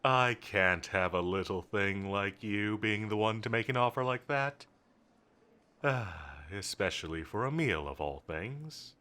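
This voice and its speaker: A man's voice: fairly low pitch, expressive, measured pace, bright, forceful tone. A man playing a confident, teasing villain commander in a roleplay audio drama, drawling and flirtatious with a bossy edge. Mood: amused, teasing. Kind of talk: scripted roleplay audio drama addressed to the listener.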